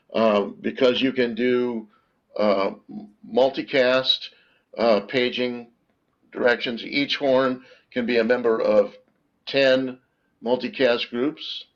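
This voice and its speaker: A man presenting a product as a webinar guest, explaining technical details informally with halting pauses mid-phrase. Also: mid-range voice. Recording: dull, lo-fi online call audio.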